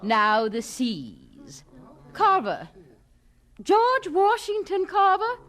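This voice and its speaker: A prim schoolmistress with a very high, animated voice and measured pace. She is firm, fussy and precise, in radio drama style. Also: exaggerated voice. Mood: brisk, searching.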